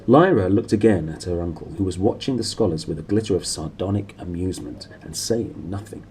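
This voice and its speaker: A man's voice: low-pitched, animated, a little fast, a little mellow, a little hiss or hum. A man in his thirties reading a storybook aloud expressively in a small room, recorded on a nearby camera. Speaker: storybook reader. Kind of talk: expressive read-aloud narration.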